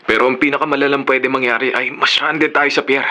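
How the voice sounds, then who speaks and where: A man's voice: mid-range voice, flat delivery, fairly quick, pushed and bright. A man playing a flamboyant, teasing young best friend in a radio drama, with a Filipino accent and English mixed in. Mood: casual, practical. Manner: sassy, mixes Tagalog with English.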